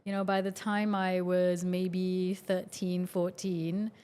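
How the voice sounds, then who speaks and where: A woman in her forties from Singapore chats easily as a podcast guest, her voice sitting a little on the low side. She talks in a loose, conversational flow full of small fillers. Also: slow, clean tone. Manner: chatty, relaxed, frequent fillers. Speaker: guest, functional medicine doctor. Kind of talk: casual interview talk.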